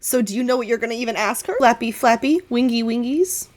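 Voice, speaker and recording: A woman's voice: a little high, fast talker, close to an everyday voice. Lady doing a vain sassy bird guy, sarcastic as hell, teasing, fantasy rpg familiar. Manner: sarcastic, taunting.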